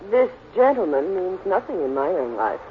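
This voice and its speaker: An actress in a 1940s radio drama playing a flustered, evasive young widow suspected of murder. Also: female voice, on the low side, big pitch swings, normal speed, slight background noise. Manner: evasive, defensive. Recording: tinny lo-fi old radio broadcast.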